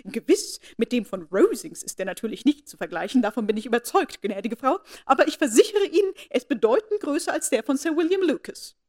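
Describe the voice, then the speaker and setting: A woman voicing an excitable, fawning middle-aged mother who talks in an eager, boastful rush. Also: high voice, brisk, hardly any pauses, pitched above the performer's own voice. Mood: gushing.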